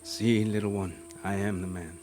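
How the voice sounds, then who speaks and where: An actor playing a gentle, fatalistic condemned prisoner in a Western radio drama. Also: male voice, lowish voice, steady tone, moderate pace, a little hiss or hum, lightly acted. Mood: sorrowful, gentle. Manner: soft, fatalistic, philosophical. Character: resigned, gentle Mexican prisoner awaiting hanging.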